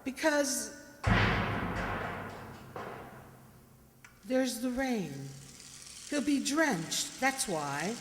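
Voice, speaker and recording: A woman's voice: on the high side, very animated, slow pace, a little hiss or hum. Woman playing a commanding old matriarch in an echoing hall. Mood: stern, urgent. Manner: imperious, urgent, blunt. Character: ailing, commanding, sharp-tongued elderly mother and matriarch. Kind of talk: old-time radio drama acting. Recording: very echoey hall, long reverb.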